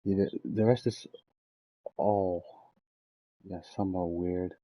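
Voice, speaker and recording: A young man giving casual, halting gameplay commentary as he thinks aloud. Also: low voice, steady tone, dark tone, poor audio. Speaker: game streamer.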